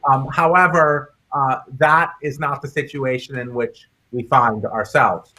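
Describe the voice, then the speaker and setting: A man speaking as a guest author in a public discussion, explaining his points haltingly and often repeating words. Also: medium pitch, steady tone, pushed and bright, low-quality recording.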